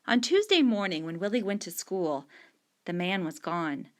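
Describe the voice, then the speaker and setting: A woman reading a short story aloud in a steady, unhurried storytelling manner. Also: on the low side. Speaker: story reader.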